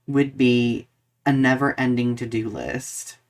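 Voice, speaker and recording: A man's voice: mid-range voice, steady tone, slow. A man with a mid-range voice co-hosts a casual chat podcast. He talks expressively, leaning on words for emphasis and often circling back to find his phrasing. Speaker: podcast co-host.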